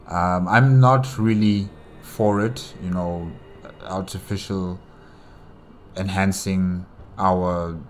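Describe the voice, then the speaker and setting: A man giving casual solo reaction commentary as host, halting as he searches for words. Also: low voice, steady tone, slight background noise.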